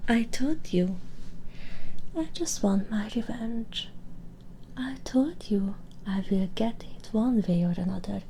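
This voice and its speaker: A woman voicing a cruel, vengeful immortal vampire in a breathy half-whisper, taunting and menacing in an audio roleplay. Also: mid-range voice, warm tone, a little hiss or hum, low-quality recording. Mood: vengeful gloating. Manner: slow, menacing, mocking, addressing the listener directly. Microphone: close binaural microphone.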